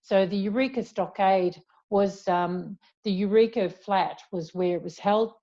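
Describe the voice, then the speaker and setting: A woman's voice: medium pitch, a little slow, mellow, highs cut off. An Australian woman speaking as an author in a conversational interview, chatty and reflective as she explains at length. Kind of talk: conversational author talk, answering questions.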